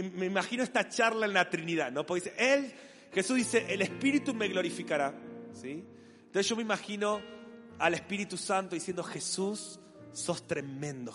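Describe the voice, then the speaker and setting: A man with a Rioplatense Spanish accent preaching a sermon, animated and playful, acting out voices in an imagined dialogue. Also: high voice. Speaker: pastor. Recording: long hall reverb with faint music.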